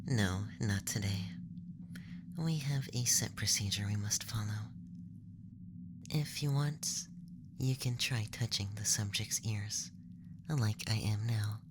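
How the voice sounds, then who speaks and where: A man playing a curious young alien examiner in a whispered ASMR roleplay, speaking in measured, scientific phrases close to the microphone. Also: lowish voice, background noise. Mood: matter-of-fact.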